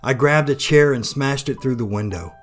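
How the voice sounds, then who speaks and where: A man's voice: medium pitch, moderate pace, soft background music, natural voice. A man playing an airship disaster survivor, recalling his escape in a dramatic first-person reading. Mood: tense recollection.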